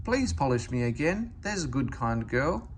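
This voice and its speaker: A man's voice: mid-range voice, big pitch swings, moderate pace, slight background noise, lightly acted. A man voicing a fussy, vain male railway engine in a storybook reading. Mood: startled, pleading.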